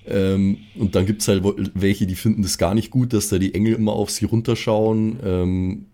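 Lower-voiced, mellow man. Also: fairly even intonation, normal speed. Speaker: podcast host and role-play player. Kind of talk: casual group discussion of a role-play campaign.

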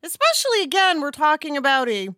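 A woman's voice: high voice, big pitch swings, quick pace, sharp tone, dry recording, studio quality. A woman talking casually as a podcast panelist, with a candid, informal and emphatic manner. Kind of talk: casual conversational discussion.

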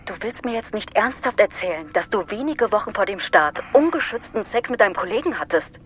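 A woman's voice: mid-range voice, animated, brisk, slight background noise, lightly acted. A woman playing a stern, incredulous astronaut. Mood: scolding. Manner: hushed, whispering, then sharply scolding. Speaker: astronaut crew member in an audio drama. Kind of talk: audio drama acting.

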